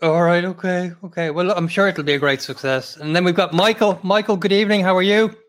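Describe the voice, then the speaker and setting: A man hosting an interview over a video call, measured and formal with many hesitations. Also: sits high. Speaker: host.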